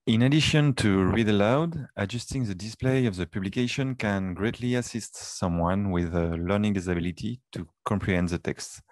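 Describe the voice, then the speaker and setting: A man's voice: on the low side, darkish tone, dry recording. A man giving an explanatory slide presentation in a webinar, with non-native English phrasing. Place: indoors, webinar call.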